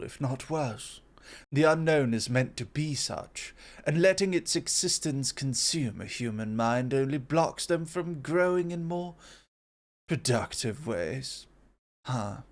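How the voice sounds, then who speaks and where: A man playing a composed, intellectual god of foresight in an ASMR roleplay, formal, measured and gently superior. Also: medium pitch, lightly acted. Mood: pensive.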